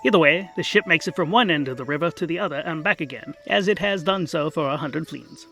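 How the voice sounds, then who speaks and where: Man voicing a slurring, officious vampire, fairly high voice. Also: quick pace, pushed and bright, faint music behind. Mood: smug, casual. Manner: casual and officious. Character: slurring, entitled male vampire, casual yet officious. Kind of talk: fantasy comedy audio drama narration.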